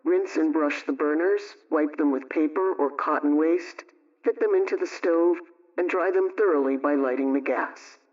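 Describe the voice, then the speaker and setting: A man presenting a scripted homemaking radio program, reading out advice in a measured, instructional way. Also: fairly high pitch, a little mellow. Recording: muffled, distant radio sound.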